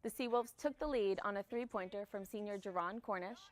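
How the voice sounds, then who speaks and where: A woman's voice: medium pitch, fairly quick. A young adult woman working as a student news anchor, delivering scripted campus news.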